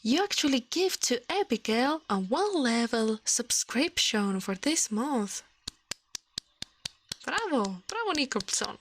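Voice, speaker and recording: A woman with an Italian accent speaking softly in an ASMR livestream, often whispering, close to the microphone. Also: fairly high pitch, very animated, measured pace, slight background noise. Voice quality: soft, breathy, often whispered. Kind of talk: ASMR, soft-spoken and whispered storytelling and chat.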